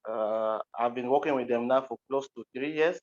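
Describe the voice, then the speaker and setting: A man's voice: mid-range voice, moderate pace. Male panel guest, Cameroonian accent, mid-range voice, hesitant and plain in delivery, heard over a dull call connection. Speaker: interview guest, interpreter. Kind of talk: recounting personal experience in an online panel. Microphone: video call. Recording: muffled, dull online call audio.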